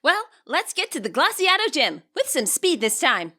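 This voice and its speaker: A woman voicing an eager, earnest and polite teenage girl in an audiobook story, chatty and animated. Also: high voice, normal speed, voice pushed up, heavily acted. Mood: eager, tactful.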